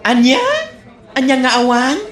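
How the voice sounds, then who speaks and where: A man's voice: extremely high pitch, fairly even intonation, unhurried, fairly bright tone, roomy sound. A man playing a grumbling, nagging parlor assistant with an Ilocano accent, complaining and exclaiming in a radio comedy drama. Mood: indignant.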